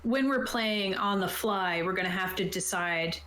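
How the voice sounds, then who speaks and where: A woman's voice: mid-range voice, normal speed, fairly bright tone. A woman chatting casually and enthusiastically as a game master about tabletop roleplaying, thinking aloud as she goes. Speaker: game master and show host. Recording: roomy echo, faint background noise.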